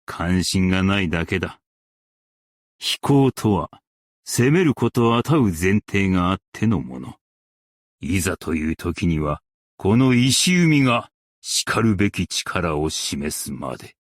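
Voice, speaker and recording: A man playing a stern, dignified old-world master craftsman and warrior in a game, speaking in archaic, formal, measured Japanese diction with weighty delivery. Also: deep voice, sing-song, no room sound, exaggerated voice. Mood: solemn. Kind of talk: Japanese game character voice lines.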